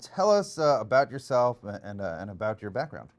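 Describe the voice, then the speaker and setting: A man's voice: lowish voice, big pitch swings, a little fast. A man hosting a political interview, hammy and jokey, stumbling over his words now and then. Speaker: political analyst and host. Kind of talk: casual interview.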